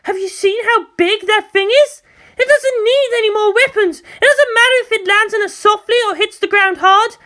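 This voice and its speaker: Woman acting a shrill, hot-tempered female commander. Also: normal speed. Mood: outraged. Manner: shouting, indignant, emphatic. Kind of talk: dramatic audiobook character acting.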